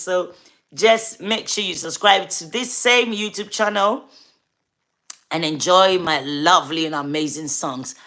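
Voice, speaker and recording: A woman's voice: medium pitch, pushed and bright. A woman with a Ghanaian accent, a gospel artist hosting a casual livestream, chatting with viewers by name and urging them to subscribe.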